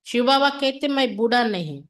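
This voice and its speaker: A woman's voice: fairly high pitch, lively intonation, moderate pace. A woman from India giving a religious class, reading scripture aloud, then explaining it instructively with repetition and rhetorical questions.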